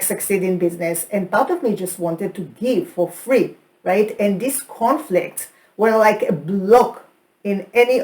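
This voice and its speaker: A woman's voice: medium pitch, a little mellow. A woman with an Israeli accent co-presenting a training session, who laughs often and keeps checking in with "right?". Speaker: co-host and trainer. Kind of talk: presentation chat.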